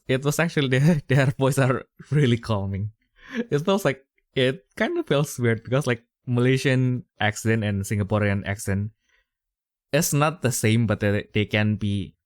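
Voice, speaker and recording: Young guy rambling on a podcast, lots of likes and uhs, lowish voice, dry sound. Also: no echo. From Indonesia. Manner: rambling, many fillers and restarts. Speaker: podcast host. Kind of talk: casual chat.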